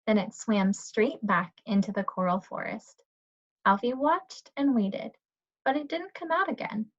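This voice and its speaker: A woman's voice: fairly high pitch, unhurried. A woman teacher reading a children's picture book aloud, on a dull, lo-fi recording. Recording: dull lo-fi audio.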